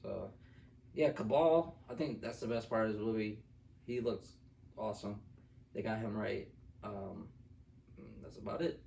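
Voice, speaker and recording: A man's voice: fairly low pitch, measured pace, creaky, pauses often, dark tone, far from the mic, slight background noise. A young man co-hosting a casual review chat, talking in a blunt, slangy way. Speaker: film review co-host. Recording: echoey room, off the mic.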